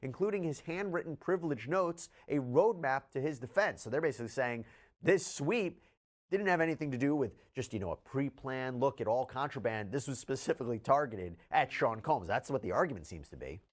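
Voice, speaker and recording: A man's voice: medium pitch, animated, fairly quick. A man working as a legal commentator, reading filings aloud and explaining them in an articulate way, stressing key words.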